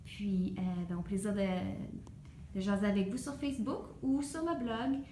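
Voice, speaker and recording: A woman's voice: mid-range voice, moderate pace, dark tone, roomy sound, a little hiss or hum, low-quality recording. A woman teacher from Ontario with a Franco-Ontarian French accent, casually showing her classroom, hesitant with many fillers. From Ontario, Canada. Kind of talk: casual classroom tour vlog. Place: empty classroom.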